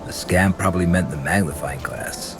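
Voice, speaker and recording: An actor playing a stern, sardonic inquisitor in a grim medieval fantasy video game, with a gruff, dry, commanding manner. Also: male voice, low-pitched, normal speed, music in the background, natural voice.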